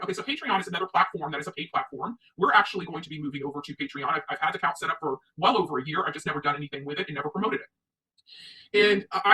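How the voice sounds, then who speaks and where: Bright-voiced, emphatic woman commentator, off-mic. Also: medium pitch, far from the mic, poor audio. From United States. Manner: emphatic, repeats points for stress. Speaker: online commentary show host. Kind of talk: opinionated livestream commentary.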